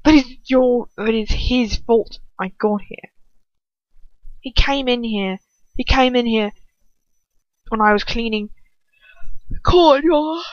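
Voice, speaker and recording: A woman voicing a hot-headed, bitter young man in a story, with sputtering, shouted outbursts of protective hatred. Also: a little high, slow, long pauses, no room sound, voice pushed up. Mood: bitter anger. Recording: slightly dull, lo-fi home recording.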